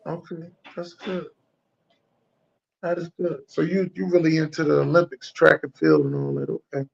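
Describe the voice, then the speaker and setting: High, gravelly man with slangy talk. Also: fairly even intonation, normal speed, highs cut off. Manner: casual, slangy, repeats himself. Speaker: host. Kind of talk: casual interview chat.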